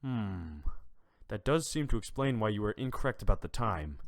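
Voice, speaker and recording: A man voicing a stern, patient judge with formal, measured speech in a fan audio drama. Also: lowish voice, lively intonation, gravelly, soft background music.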